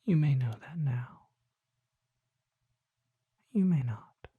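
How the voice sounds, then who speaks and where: A performer voicing a mysterious, gentle and solemn vampire apothecary in a breathy, hushed whisper, with formal, deliberate phrasing for an ASMR roleplay. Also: mid-range voice, no echo, phone-quality sound.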